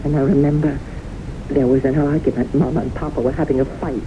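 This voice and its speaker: A hissy, dull vintage radio recording of a haunted widow. Her voice is low, and she speaks with solemn, deliberate drama, earnest about death stalking her. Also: steady tone. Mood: reminiscing. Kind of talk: old-time radio drama acting, storytelling.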